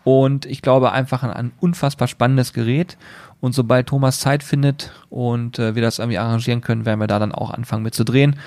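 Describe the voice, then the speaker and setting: Man, mid-pitched voice, very dry close sound, easygoing podcast chatter. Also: quick pace, dry recording. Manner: relaxed, rambling, enthusiastic about grilling gear. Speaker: podcast co-host. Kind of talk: casual podcast chat.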